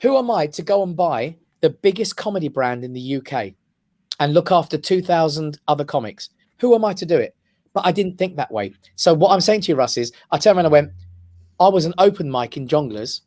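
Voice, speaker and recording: A man's voice: a little high, lively intonation, fairly quick, a little mellow. A man, an emphatic and passionate radio guest. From England. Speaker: guest, comedy club owner. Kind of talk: casual radio interview. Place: radio studio.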